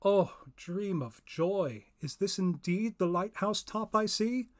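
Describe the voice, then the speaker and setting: A man's voice: high voice, lively intonation, takes it slowly, dry recording, clean studio sound, voice pushed up. A man voicing a haunted, awestruck old-time mariner with fervent, exclamatory delivery in a dramatic poetry reading. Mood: awed, joyful.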